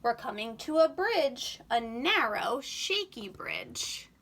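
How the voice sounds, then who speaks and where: A woman reading a picture book aloud to young children in an animated, sing-song, expressive way. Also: on the high side, takes it slowly.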